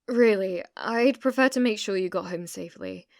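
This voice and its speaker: A young British woman playing an obsessive, delusional shop cashier stalker in an intimate ASMR roleplay, halting and flustered, slipping into menacing whispers. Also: medium pitch, no echo, clean studio sound, lightly acted. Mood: flustered, coaxing.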